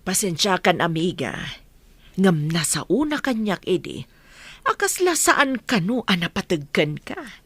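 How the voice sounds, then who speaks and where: Woman acting a lively, frank Ilocano friend. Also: on the low side, a little slow, breathy edge, no echo, exaggerated voice. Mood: frank, consoling. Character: frank, consoling middle-aged woman friend. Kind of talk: Ilocano radio drama acting.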